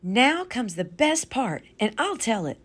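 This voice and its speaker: A woman's voice: a little high, sing-song, unhurried, fairly bright tone, heavily acted. A woman voicing a playful, inquisitive little girl in a storybook, enthusiastic and sing-song.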